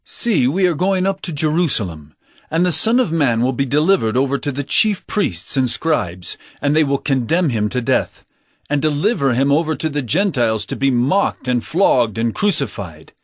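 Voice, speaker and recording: A man playing an authoritative teacher in a Bible audio drama, speaking in a measured, solemn, instructive way. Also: mid-range voice, very animated, husky, no room sound, clean studio sound. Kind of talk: audio drama scripture storytelling.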